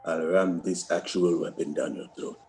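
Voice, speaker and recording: A low-pitched, gruff military chief acted by a man in a drama table read. He is curt, defiant and threatening, over a noisy recording. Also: fairly even intonation, normal speed, a little mellow. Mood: menacing. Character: gruff, defiant male chief warrant officer on a jungle mission.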